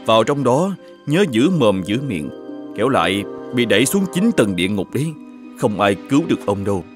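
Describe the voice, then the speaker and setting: A man's voice: lowish voice, sing-song, brisk, over music. A man playing a solemn old saint who guards heaven's gate in a cartoon fairy tale, grave and admonishing. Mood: stern warning.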